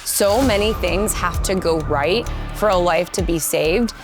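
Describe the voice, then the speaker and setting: A woman's voice: on the low side, measured pace. A woman giving an interview testimonial, recounting personal experience. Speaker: 911 caller giving testimonial. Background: background music.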